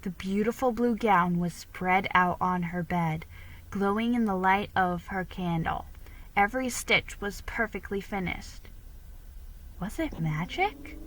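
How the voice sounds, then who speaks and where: A woman's voice: medium pitch, measured pace, fairly bright tone, slight background noise, rough recording. A woman giving a dramatic storybook narration, now and then stumbling and repeating words. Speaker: amateur story reader.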